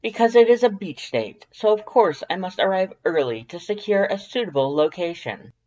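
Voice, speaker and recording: Theatrical male skeleton for audio fiction one reader putting him on: pompous, formal, loud, raised pitch, muffled recording. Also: animated, normal speed, natural voice. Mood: haughty. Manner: pompous, declamatory, formal wordy diction.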